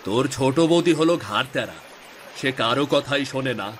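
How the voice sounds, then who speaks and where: A man's voice: medium pitch, lively intonation, normal speed, slight background noise, lightly acted. A man playing a weary, regretful village fisherman in a cartoon story, lamenting and sighing. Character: weary, regretful fisherman, husband of two wives. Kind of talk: scripted cartoon story acting. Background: music.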